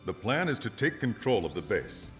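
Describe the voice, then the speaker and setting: An actor playing a stern military officer, delivering a formal, authoritative mission briefing for a video game. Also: male voice, fairly low pitch, big pitch swings, moderate pace, soft background music. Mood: formal, resolute.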